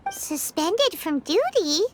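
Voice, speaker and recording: A woman voicing a tiny, childlike fairy companion in a video game, chirpy, curious and expressive. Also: very high voice, slow, slight background noise, heavily acted.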